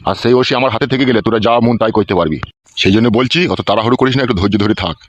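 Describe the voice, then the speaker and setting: A man's voice: lowish voice, very fast, no echo, lightly acted. A man playing a corrupt, scheming local politician in a comic cartoon, bossy and coarse, on a dull dry recording.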